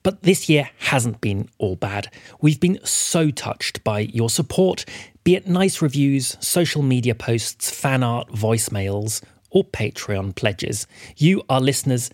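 Male storyteller-host, scripted delivery, medium pitch with wide swings, husky tone. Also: animated, a little slow, darkish tone, dry recording, studio quality. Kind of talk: scripted narration.

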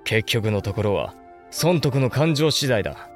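Cynical samurai lord, played by a man, mid-pitched. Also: expressive, measured pace, soft background music, close to an everyday voice. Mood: cynical, reflective. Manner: blunt, detached, matter-of-fact. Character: cynical, worldly samurai lord, an elder brother. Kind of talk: Japanese historical audio drama acting.

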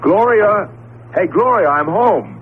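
An actor playing an eager, excitable professor husband in a 1970s radio drama, coaxing and stammering slightly. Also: male voice, high-pitched, slow pace. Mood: eager, playful. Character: eager, boyish husband, a professor of Egyptian history. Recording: muffled, noisy 1970s radio recording.

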